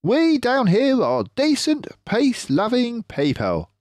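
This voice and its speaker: A man voicing a cocky, suave fox who leads the others, with theatrical, drawn-out emphasis, in a children's storybook reading. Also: very high-pitched, huge pitch swings, darkish tone, dry recording, clean studio sound, pitched above the performer's own voice, exaggerated voice. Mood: persuasive. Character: cocky, suave male fox, sly leader and provider.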